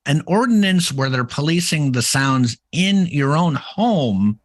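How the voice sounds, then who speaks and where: A middle-aged man co-hosting a podcast, chatting casually in a boisterous, loud way and telling stories with quoted asides. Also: fairly high pitch, animated, measured pace. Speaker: podcast co-host. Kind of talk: casual chat.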